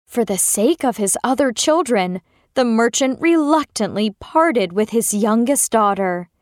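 A woman tells a children's fairy tale in a fairly high voice. She reads slowly and expressively, with lively intonation. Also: no room sound, studio quality. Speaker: storyteller.

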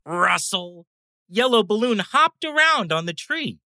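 A woman reading a children's bedtime story as a scripted, animated narrator, acting out the sound words. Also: medium pitch, a little slow, dry recording, clean studio sound.

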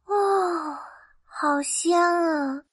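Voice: very high voice, extremely slow, fairly bright tone, no room sound, full character voice. A performer voicing a poor, cold, hungry little match-selling girl in a children's storybook, pleading and exclaiming. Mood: longing.

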